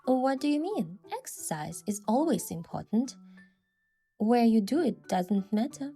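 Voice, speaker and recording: Mellow-voiced woman reading a story over music. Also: fairly high pitch, big pitch swings, a little slow, slightly rough. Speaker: narrator and lead character of a game event story. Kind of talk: scripted first-person narration with acted dialogue. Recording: music behind.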